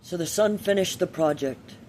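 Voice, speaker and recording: A man tells a personal story with a moral in an earnest, confessional way, halting and deliberate between short phrases. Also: high-pitched, darkish tone, a little hiss or hum, rough recording.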